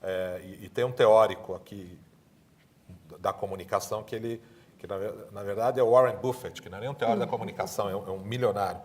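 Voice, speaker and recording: A man's voice: fairly low pitch, steady tone, fast, a little mellow. A man with a Brazilian accent speaking as a panelist, often adding little tag questions to his points. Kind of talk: panel discussion.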